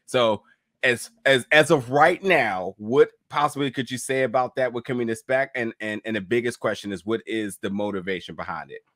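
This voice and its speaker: A man's voice: medium pitch, normal speed, a bit bright, dry recording, studio quality. A man in his thirties hosting an online interview channel, upbeat and speaking straight to his viewers. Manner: upbeat, addresses viewers as folks. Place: home gaming room.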